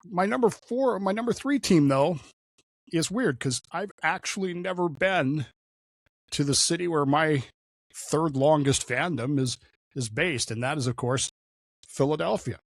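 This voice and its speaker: A man's voice: fairly high pitch, measured pace, no room sound. A man in his sixties, a show host, talking through an unscripted solo monologue in a conversational, digressive way with frequent fillers and restarts. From Oklahoma, raised in Denver.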